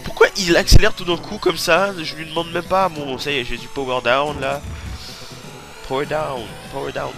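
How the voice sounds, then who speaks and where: A man with a stuffy cold giving excitable, swearing commentary over his own racing gameplay. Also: on the high side, moderate pace, creaky. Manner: excitable, swearing, yelps and laughs while playing. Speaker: let's play host. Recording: game music over voice. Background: loud game music.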